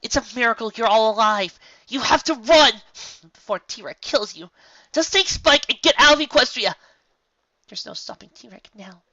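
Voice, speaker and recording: A woman's voice: a little high, lively intonation, brisk, few pauses, no room sound, far from the mic, dull audio, voice pushed up, lightly acted. A woman voicing a panicked young female unicorn princess, screaming and near tears. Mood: frantic. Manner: screaming, frantic, tearful.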